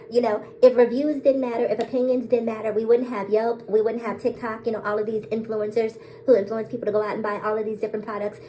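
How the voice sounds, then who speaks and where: A woman's voice: mid-range voice, darkish tone, a little hiss or hum, poor audio. A woman vlogger talking straight to her viewers in a bold, sassy way, laughing often and calling them girl, sis and baby. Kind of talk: casual to-camera monologue.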